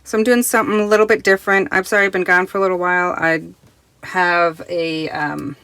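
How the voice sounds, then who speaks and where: A woman reviewing a tarot deck in casual, rambling chat full of restarts, recorded in a quiet closet at home, her voice still recovering from being lost. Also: on the low side, steady tone, a little fast, clean tone. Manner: chatty, rambling with many restarts and fillers.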